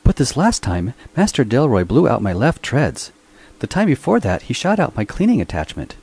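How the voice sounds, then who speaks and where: A man's voice: mid-range voice, huge pitch swings, moderate pace, darkish tone, slightly rough, pitched above the performer's own voice, exaggerated voice. A man voicing a fussy, servile male robot servant who complains politely. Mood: plaintive. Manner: prim, polite, whiny complaining.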